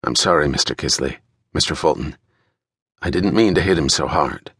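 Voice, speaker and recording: A man plays a young apprentice detective in a thriller audiobook. He speaks low, with earnest politeness and deference, in a dry recording. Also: normal speed, fairly bright tone, slightly rough, no room sound, heavily acted. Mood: contrite.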